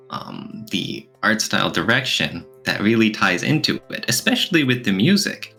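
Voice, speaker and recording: A man's voice: fairly low pitch, sharp tone. A man co-hosting a podcast, talking casually in a thoughtful, explanatory way. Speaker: podcast co-host. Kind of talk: casual podcast discussion, reviewing.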